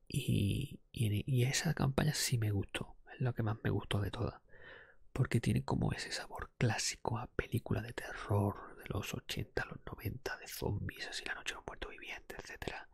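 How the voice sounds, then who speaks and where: Breathy, half-whispering man doing ASMR. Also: on the low side, no echo. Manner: hushed, rambling, opinionated. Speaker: ASMR podcast host. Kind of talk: ASMR, casual unscripted monologue on video games. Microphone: close-up ASMR microphone.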